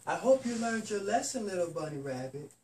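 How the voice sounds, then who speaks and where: Storybook mama rabbit, gentle and soothing, voiced by a man, distant from the mic. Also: high voice, sing-song, a little fast. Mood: gently instructive. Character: gentle, consoling mother bunny rabbit.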